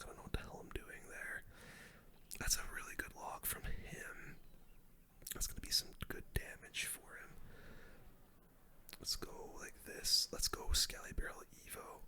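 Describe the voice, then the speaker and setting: Casting: ASMR gaming streamer, fully whispered, breathy and bright, close-miked calm play-by-play. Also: normal speed. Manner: calm running play-by-play, muttering. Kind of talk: whispered ASMR game commentary. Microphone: close microphone.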